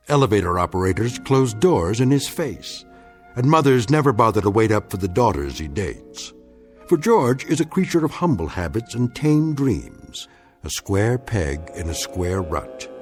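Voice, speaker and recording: A wry anthology narrator over music. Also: male voice, fairly low pitch. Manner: wry, measured, theatrical storytelling. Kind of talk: dramatic radio drama narration.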